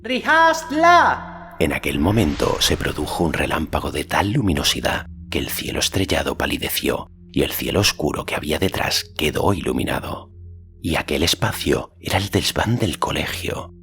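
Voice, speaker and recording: A man reading literary fantasy narration as an audiobook narrator, in a measured, solemn storytelling manner. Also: a bass voice, vocal fry, a bit bright, a little hiss or hum.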